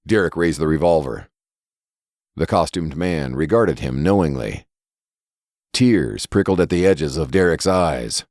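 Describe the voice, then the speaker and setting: Very deep-voiced man narrating a dark story. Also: lively intonation, unhurried, no room sound, studio quality. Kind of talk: scripted horror story narration.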